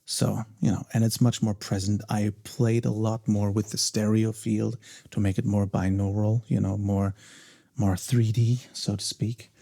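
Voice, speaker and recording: Low, gravelly, soft-spoken man. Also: flat delivery, measured pace. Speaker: voice actor and ASMR creator. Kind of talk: soft-spoken behind-the-scenes commentary.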